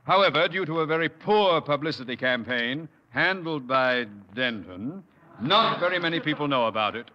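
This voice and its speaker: A man's voice: expressive, normal speed, lightly acted. A man playing a pompous, blustering school principal. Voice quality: pompous, booming. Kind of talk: 1950s radio sitcom acting. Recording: dull, noisy old radio broadcast.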